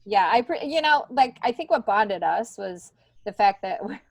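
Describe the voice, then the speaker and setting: A woman's voice: mid-range voice, animated, moderate pace, creaky, no echo. Middle-aged woman chatting casually, closely recorded. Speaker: podcast guest, stand-up comedian. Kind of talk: casual conversational chat.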